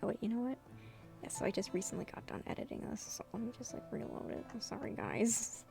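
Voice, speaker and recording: A woman with a fairly high, very animated voice narrates casually and theatrically. She is giggly and self-deprecating, breaking into chatty asides over faint music. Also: quick pace, creaky. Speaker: webcomic creator narrating own comic. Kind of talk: casual dramatic reading with asides.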